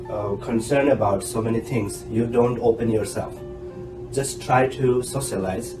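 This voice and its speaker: A man in his thirties with an Indian accent, a guest giving frank, emphatic advice on a podcast interview. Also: mid-range voice. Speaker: guest, doctoral graduate. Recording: echoey room with loud music bed.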